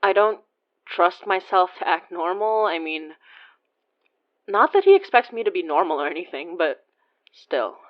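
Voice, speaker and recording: A woman in her twenties playing an awkward, self-deprecating young woman in a naturalistic audio drama, rambling haltingly with sighs. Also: fairly low pitch, sing-song, highs cut off. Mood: sheepish, lovesick. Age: 20s. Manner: halting, sighing, rambling confessional. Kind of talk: naturalistic audio drama acting, phone monologue.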